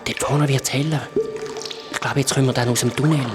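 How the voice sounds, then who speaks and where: A man's voice: medium pitch, fairly even intonation, fairly quick, music in the background, lightly acted. A man with a Swiss German accent playing a friendly shape-shifting creature from another world who patiently explains things in a children's radio play. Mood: kind, explaining.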